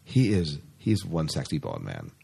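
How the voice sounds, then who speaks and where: A man's voice: a bass voice, huge pitch swings, normal speed, creaky, warm tone, husky. A man hosting a podcast in casual, enthusiastic chat, stressing points and often repeating himself. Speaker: podcast host.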